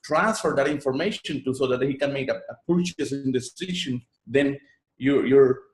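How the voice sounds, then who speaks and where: A man with a Puerto Rican Spanish accent, whose first language is Spanish, speaks earnestly as an interview guest. His pitch sits in an ordinary middle range, and he often repeats words as he builds each thought. The sound is roomy and echoey. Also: moderate pace. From Puerto Rico. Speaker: guest, online marketer. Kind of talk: interview storytelling.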